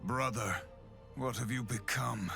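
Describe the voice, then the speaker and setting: Regretful game swordsman, gravelly and slow, brooding, faint music. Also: mid-range voice, fairly even intonation, sharp tone. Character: weary, regretful wandering swordsman, younger brother. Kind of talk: scripted game character lines.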